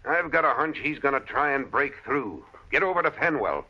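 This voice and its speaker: An actor playing a menacing, impatient outlaw boss in a radio western. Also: male voice, medium pitch, lively intonation, moderate pace, slightly rough. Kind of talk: old-time radio western acting. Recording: muffled vintage radio recording.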